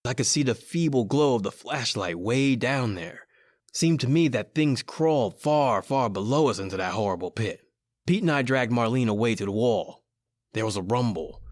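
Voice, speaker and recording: A man's voice: mid-range voice, no echo, clean studio sound, natural voice. A man playing a frightened American criminal, urgent and dramatic, in an old-time radio horror play. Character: frightened male criminal narrating his doom.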